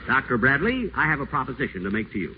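Man playing a pompous sarcastic schemer, big lively inflections, thin phone-like old radio sound. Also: mid-range voice, fast, lightly acted. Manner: sardonic, theatrical, imperious. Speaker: radio celebrity. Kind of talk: 1940s radio acting. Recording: tinny old radio broadcast, faint hiss.

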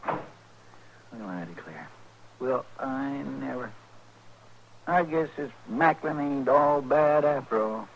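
A muffled old radio recording with faint noise carries a wide-eyed western sidekick. He drawls in a rural American accent at a medium pitch, simple and eager. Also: expressive, slow, long pauses. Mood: amazed. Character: folksy, naive, eager male marshal's sidekick. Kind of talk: 1950s radio western acting. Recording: dull, noisy 1950s radio recording.

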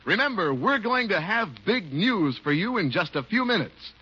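A man working as a 1950s radio announcer and sponsor pitchman. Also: very animated, normal speed, slightly rough. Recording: muffled old radio broadcast.

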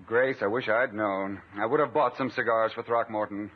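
A man's voice: lowish voice, steady tone, normal speed, breathy edge. A man playing a self-important, ingratiating freeloader brother in a 1950s radio comedy. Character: smooth-talking freeloading brother, self-important, flattering moocher. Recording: thin, narrow 1950s radio recording.

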